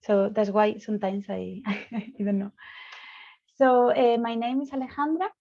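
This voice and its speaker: A woman from Spain with a Spanish accent, a psychologist leading an online debate in slow, hesitant English full of fillers. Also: a little high, steady tone, gravelly. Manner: slow, hesitant, frequent fillers and light laughs. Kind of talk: online discussion session. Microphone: video call. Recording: dull lo-fi call audio.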